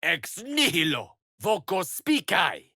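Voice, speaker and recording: A man's voice: high-pitched, huge pitch swings, takes it slowly, sharp tone, no echo, clean studio sound, exaggerated voice. A man voicing a courteous, self-assured wizard companion in a video game, articulate and wryly theatrical. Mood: incanting. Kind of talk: video game character voice lines.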